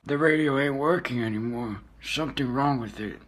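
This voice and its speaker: Old grandpa played by a man, hesitant and grumbling, folksy talk, mid-pitched, for a radio commercial sketch. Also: normal speed, a bit bright, close to an everyday voice. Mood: grumbling, doubtful.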